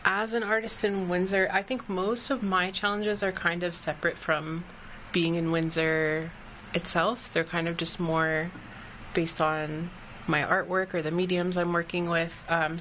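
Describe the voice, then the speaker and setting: A woman's voice: on the low side, little pitch movement, moderate pace, a bit bright, slight background noise, low-quality recording. A young woman artist answering interview questions in a hesitant way, with many ums. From Canada. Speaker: artist guest.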